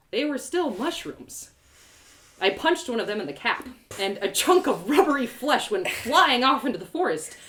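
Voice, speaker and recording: A woman reading a first-person story aloud with expressive, playful, dramatic delivery. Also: on the high side. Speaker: author reading a story live.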